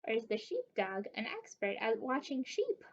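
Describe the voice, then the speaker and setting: A young woman reads a picture book to little children. Her voice is high and swings widely in pitch, expressive throughout. The webcam sound is slightly dull. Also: normal speed, a little hiss or hum. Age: young adult. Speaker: story time reader. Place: living room indoors.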